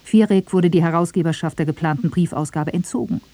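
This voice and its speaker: A radio feature narrator reading a scripted debate, measured, questioning and objecting. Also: medium pitch, mellow, no room sound.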